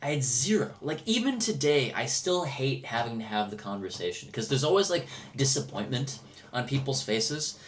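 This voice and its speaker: An American man chatting casually and telling personal anecdotes, halting and circling back over his words. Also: mid-range voice, lively intonation. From Milwaukee, United States. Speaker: podcast host. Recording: noticeable background noise.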